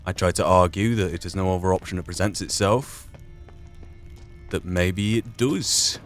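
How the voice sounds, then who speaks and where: Male gamer-narrator, low register, musing and philosophical, rhetorical questions, soft music underneath. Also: normal speed. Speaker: gamer narrating a roleplay playthrough. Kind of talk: reflective solo game commentary, musing in and out of character. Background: video game music.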